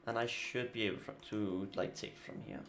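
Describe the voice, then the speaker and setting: A man's voice: fairly low pitch, steady tone, normal speed, fairly bright tone, slight background noise. A man with a Danish accent, a native Danish speaker, streaming live and casually thinking aloud about his card-game moves. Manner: thinking aloud while playing, casual, muttering asides. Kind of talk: live gameplay commentary.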